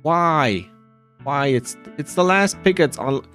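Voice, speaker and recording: A man plays a game and talks through it casually in an unhurried, very animated voice. He rambles and mutters asides to himself, with faint music behind him. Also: a little high. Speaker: gamer narrating his playthrough.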